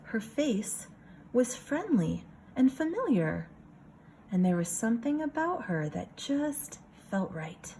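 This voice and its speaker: A woman reading a picture book aloud like a kindergarten teacher, expressive, pausing for casual asides to the children. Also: on the high side, slight background noise, rough recording.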